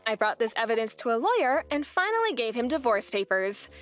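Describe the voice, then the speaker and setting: A woman's voice: on the high side, sing-song, brisk, faint music behind. A young woman playing an indignant, resolute wife who narrates her story expressively in a manga dub. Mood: matter-of-fact.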